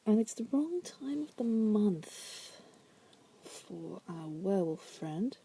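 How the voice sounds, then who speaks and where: A woman voicing a bored, manipulative female demon prisoner in an ASMR roleplay, softly teasing and coaxing the listener in a breathy voice that often drops to a whisper. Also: medium pitch, big pitch swings, slow pace, slight background noise, full character voice. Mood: musing. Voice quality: soft, breathy.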